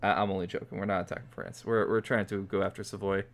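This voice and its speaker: A man's voice: sits low, level tone, quick pace. A man streaming a strategy game, talking in a hesitant, polite way with frequent restarts. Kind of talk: casual multiplayer voice chat.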